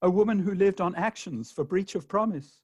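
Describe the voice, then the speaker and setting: A man playing a wounded, sarcastic jilted gentleman in a comic radio play, with a pompous, declamatory delivery. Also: sits high, normal speed, breathy edge, no room sound, studio quality. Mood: indignant.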